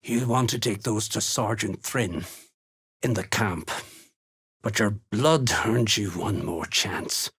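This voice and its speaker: An actor playing a surly, menacing dwarf slave hunter in a video game, sneering and blunt with a gravelly, husky voice. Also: male voice, fairly low pitch, slow, fairly bright tone, heavily acted. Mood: surly, sardonic. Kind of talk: video game character voice lines.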